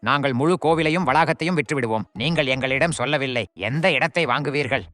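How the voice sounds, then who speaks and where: A man playing a shady crook in an animated cartoon, suspicious and businesslike as he haggles. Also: a little high, fast, no room sound. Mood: salesmanlike. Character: shady male crook haggling over temple land. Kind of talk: animated cartoon dub acting.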